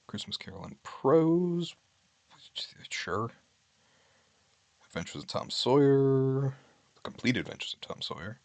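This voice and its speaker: A man's voice: medium pitch, very animated, a little slow, vocal fry, pauses often, dry recording. A man streaming from home, chatting casually with his viewers in a candid, wry way. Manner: candid, wry, reads list items aloud and comments.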